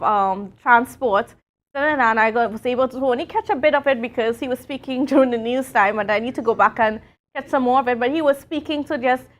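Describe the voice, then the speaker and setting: High-voiced Tobagonian woman hosting a morning show. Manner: chatty, many fillers, laughs easily. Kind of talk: live talk show hosting.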